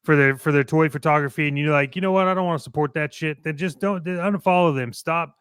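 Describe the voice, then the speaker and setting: A man's voice: fairly high pitch, quick pace, fairly bright tone, clean tone, no room sound. A man co-hosting a show, giving casual opinions in a blunt, emphatic way with some swearing. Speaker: co-host of a toy collecting show.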